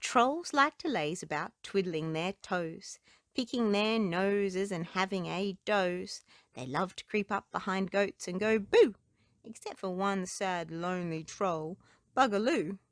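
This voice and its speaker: A woman's voice: medium pitch, unhurried, dry recording, clean studio sound. A woman reading children's storybooks and nursery rhymes aloud as a narrator. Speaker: children's book reader.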